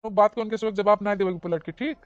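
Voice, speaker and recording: A fatherly village holy man from a cartoon comedy , acted out by a woman, with an Awadhi accent. He instructs insistently and repeats himself at a brisk clip. The sound is dry and a bit dull. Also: medium pitch, no room sound, lightly acted. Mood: instructive, fatherly.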